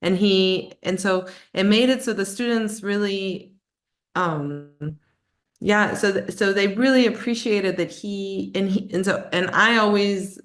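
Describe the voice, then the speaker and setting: A woman's voice: mid-range voice, a little slow. A woman presenting online, answering a conference question in an explanatory way, often restarting her sentences. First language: English.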